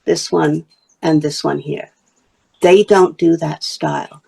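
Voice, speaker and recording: Gravelly low-voiced woman from Wales. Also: fairly even intonation, takes it slowly, mellow, low-quality recording. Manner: chatty, explaining her craft while showing pieces. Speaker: basketry artist and teacher. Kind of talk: casual interview talk.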